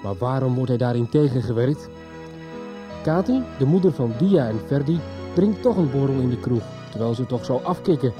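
A man's voice: medium pitch, expressive, normal speed. A man narrating a scripted recap for a radio serial. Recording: music behind the voice.

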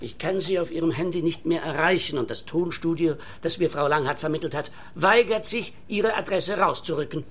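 A man's voice: a little high, a little fast, few pauses, fairly bright tone. An actor playing an earnest, self-convinced inventor and entertainer in a radio play, eager and insistent like a salesman, with a Swiss accent.

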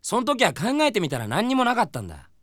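A man acting an earnest, excitable, slightly absent-minded rival fan in a Japanese comedy audio drama, with animated, blustering masculine speech. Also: sits high, fast, dry recording, clean studio sound, exaggerated voice. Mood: earnest, reflective.

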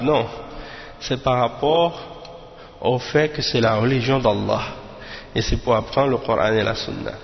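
A man's voice: medium pitch, gravelly, highs cut off. Hesitant man teaching in an echoey room. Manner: hesitant, with many restarts and Arabic fillers. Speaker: religious teacher. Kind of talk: informal religious lesson.

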